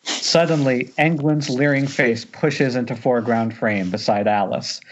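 A man's voice: medium pitch, fairly even intonation, measured pace. A man reading screenplay directions aloud at an informal table read over an internet call, sometimes stumbling and restarting. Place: remote group Skype call. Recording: dull, noisy internet call.

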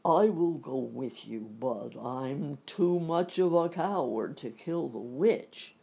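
A woman voicing a big, timid male lion in a storybook reading, in a loud, full and earnest way. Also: extremely low pitch, expressive, slow pace, gravelly, breathy edge, full character voice.

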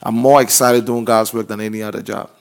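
A man's voice: lowish voice, little pitch movement, vocal fry, clear, resonant tone. A man, a pastor who grew up in Brooklyn, speaking earnestly in casual conversation, often restarting his sentences. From Brooklyn, New York. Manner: earnest, halting, loose grammar.

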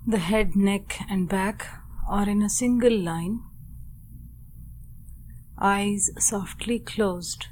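Slow-paced woman guiding meditation. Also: mid-range voice, fairly even intonation, darkish tone, a little hiss or hum. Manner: measured, deliberate, short phrases with long pauses. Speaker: meditation guide. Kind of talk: guided meditation narration.

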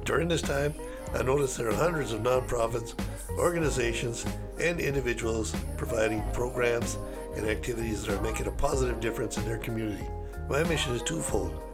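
A man's voice: medium pitch, brisk, loud music behind the voice. An older man hosting a community interview show, friendly and reading scripted introductions. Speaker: show host.

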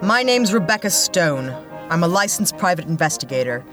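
A hard-nosed female detective from a space radio drama. She is curt and bossy with a dry, sardonic edge, and her pitch moves a lot. Faint music sits behind her. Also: big pitch swings, normal speed. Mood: stern. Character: tough, blunt, sardonic female private investigator. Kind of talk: sci-fi audio drama acting.

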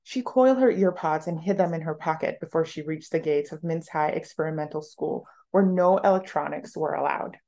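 A woman's voice: low voice, fairly quick, few pauses, dark tone. A woman author reading literary prose aloud from her own novel in a narrative style.

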